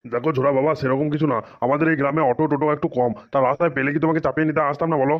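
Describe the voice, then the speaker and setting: A man's voice: a little high, fairly even intonation, rapid-fire, lo-fi sound, close to an everyday voice. A man voicing a blustering, foul-mouthed older village father in a comedic cartoon. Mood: defensive.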